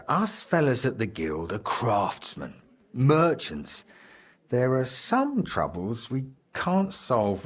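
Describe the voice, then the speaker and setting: A man's voice: medium pitch, breathy edge, exaggerated voice. A man playing a jovial, middle-aged medieval guildmaster in a video game, speaking heartily. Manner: hearty, expressive. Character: jovial, dice-loving older medieval guildmaster, hearty and comradely. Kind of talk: video game character dialogue.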